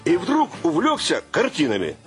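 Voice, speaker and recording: An amused, gossipy storyteller in a radio drama. His rather high voice moves up and down expressively over music, in an ironic conversational way. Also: wildly swooping pitch, normal speed. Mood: wry. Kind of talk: radio play acting.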